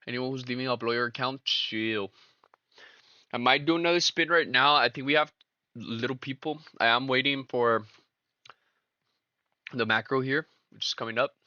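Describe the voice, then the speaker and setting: A young man chats loosely with his live-stream audience as he trades, calling them boys and brother in easygoing slang. His voice is bright and forward. The mic sounds close and dry, a little dull. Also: medium pitch, dry recording. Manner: slangy, laid-back, talks to chat as boys and brother. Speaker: livestream trader and host. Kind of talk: casual live-stream chat while trading.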